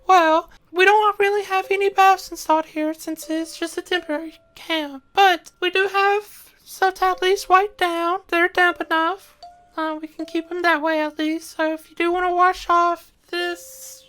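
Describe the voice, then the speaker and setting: Woman voicing a very high-pitched, helpful medic creature. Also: little pitch movement, unhurried, fairly bright tone. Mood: attentive, reassuring. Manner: friendly, reassuring, casual. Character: helpful, attentive camp medic creature named Aldino. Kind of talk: tabletop roleplay in character.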